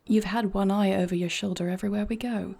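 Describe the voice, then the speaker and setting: A woman playing a noble, devoted warrior queen in an intimate fantasy audio roleplay, husky and breathy, speaking in measured, earnest, formal phrases. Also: medium pitch, steady tone, a little mellow, no room sound. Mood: concerned, thoughtful.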